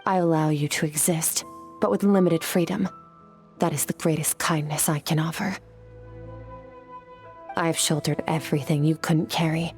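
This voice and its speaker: A woman voicing a cold, stoic swordswoman in a video game, stern, detached and measured. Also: low-pitched, steady tone, darkish tone, faint music behind. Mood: resolute, detached.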